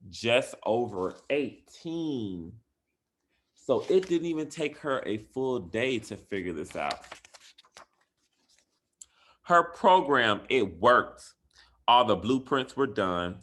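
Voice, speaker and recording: A man with a lowish voice reads a picture book to children at an unhurried pace. He leans into big, animated rises of emphasis on the story's key lines. Between pages he talks plainly to the kids and parents like a school administrator.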